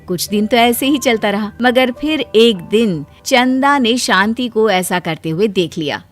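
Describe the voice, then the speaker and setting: A woman's voice: fairly high pitch, animated, a little slow, soft background music. A woman narrating a scripted children's story as its storyteller.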